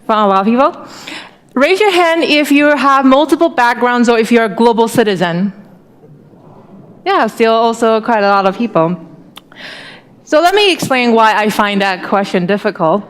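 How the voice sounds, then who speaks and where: A woman from Taiwan speaks from a conference stage in a relaxed, chatty way, often turning to the audience with questions. Her voice carries a long reverb from a large hall. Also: a little high, normal speed, long pauses. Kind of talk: conference talk.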